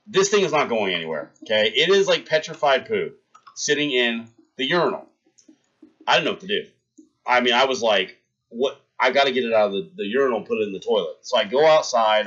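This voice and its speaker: A man's voice: medium pitch, lo-fi sound. A young man hosting a livestream, telling a rambling story in casual chat and talking to his viewers. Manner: rambling anecdote, talks to chat, shouts out followers. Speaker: livestream host.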